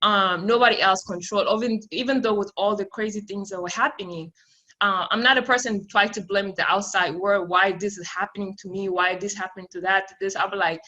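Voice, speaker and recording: A woman from South Sudan, whose first language is Amharic, with a bright, clear voice at a middle pitch. She talks loosely, circling back and restarting her sentences, and breaks into laughs between phrases. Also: steady tone, brisk, low-quality recording. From South Sudan, raised in Ethiopia. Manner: rambling, with many fillers and restarts. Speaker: podcast guest. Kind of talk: casual interview answers.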